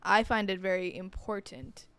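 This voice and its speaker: A woman's voice: mid-range voice, moderate pace, fairly bright tone, clean tone, dry recording. A young woman, chatting casually and earnestly as a podcast co-host.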